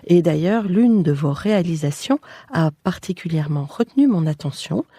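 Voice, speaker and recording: A woman hosting an architecture podcast interview, reading her introductions and questions in a poised, measured way. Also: lowish voice, lively intonation, dark tone, husky, dry recording, clean studio sound. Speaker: podcast host.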